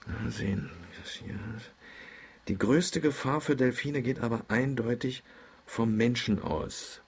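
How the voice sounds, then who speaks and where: An actor playing a grumbling, excitable middle-aged father in a German radio drama, often muttering to himself. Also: medium pitch, measured pace, slightly rough. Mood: absorbed.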